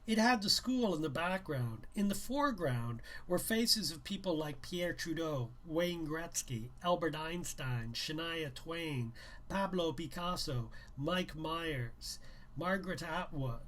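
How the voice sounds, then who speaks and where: A man's voice: a little high, animated, slow, a bit bright, a little hiss or hum. A man doing an informal live reading of his young adult novel as its author, a little hesitant when chatting. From Canada. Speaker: author reading own book aloud.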